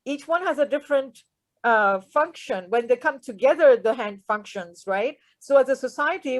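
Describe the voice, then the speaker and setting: A woman from Pakistan, an Urdu speaker, speaking reflectively on a video call with halting restarts. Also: fairly high pitch, no echo. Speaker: workshop participant. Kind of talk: earnest discussion.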